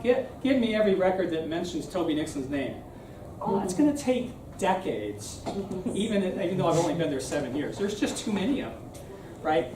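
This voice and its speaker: A man giving an informal public talk in a conversational, explanatory way, often checking in with "right?". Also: fairly high pitch. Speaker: speaker giving a civic talk. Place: room at a live meeting. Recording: roomy, distant mic, clear background noise.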